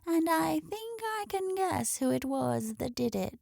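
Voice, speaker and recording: Woman as a slow, sniffy old hen. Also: sits high, big pitch swings, vocal fry, a little mellow, no room sound, clean studio sound, pitched above the performer's own voice, heavily acted. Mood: scornful, knowing.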